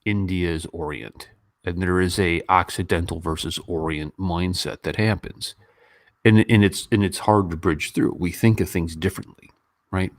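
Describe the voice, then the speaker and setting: Deep-voiced man, casual and rambling. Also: moderate pace, dry recording. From Western country. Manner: informal, animated when mimicking others, rambling. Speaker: YouTube host. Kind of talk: casual livestream chat and commentary.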